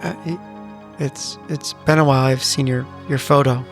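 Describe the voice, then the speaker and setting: A man playing a tabletop game, narrating his character in a measured, earnest, slightly hesitant way. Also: mid-range voice, steady tone. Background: soft music.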